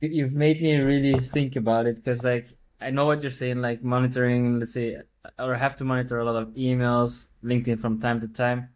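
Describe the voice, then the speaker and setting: A man's voice: medium pitch, level tone, a little fast, darkish tone. A man in his thirties, co-hosting a podcast, asking his question in a reflective, somewhat hesitant way. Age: 30s. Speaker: podcast co-host. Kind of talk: casual podcast chat.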